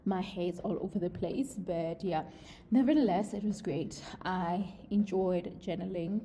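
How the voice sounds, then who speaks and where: Slow easygoing woman chatting to camera outside, relaxed and reflective. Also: on the low side, slight background noise. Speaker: vlogger. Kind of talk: casual talk to camera. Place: outdoors, windy.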